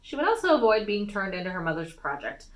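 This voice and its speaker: A woman reading a fantasy novel aloud as an expressive storytime narration. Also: mid-range voice, quick pace. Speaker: storytime reader.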